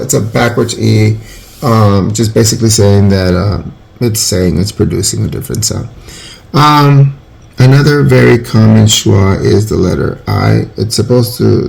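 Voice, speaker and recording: Gravelly man teaching casually. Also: on the low side, a little mellow. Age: 40s. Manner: rambling, self-correcting, informal. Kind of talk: casual phonics lesson.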